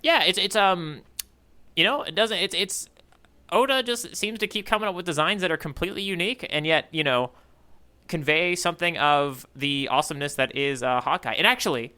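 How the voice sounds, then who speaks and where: A man's voice: on the high side, brisk, bright, forceful tone, smooth. A man co-hosting a podcast, chatting casually and thinking aloud with a wry, joking streak. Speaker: podcast co-host. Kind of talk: casual chat discussing a manga.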